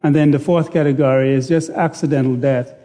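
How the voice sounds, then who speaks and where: Male preacher, warm and dark in tone, deliberate and self-deprecating. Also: a little high, steady tone. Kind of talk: sermon. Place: church sanctuary.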